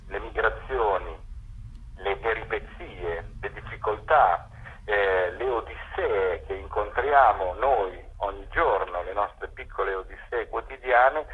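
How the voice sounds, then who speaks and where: A man interviewed on radio as a theatre director, speaking deliberately and building his thoughts in lists. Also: on the low side, breathy edge, highs cut off.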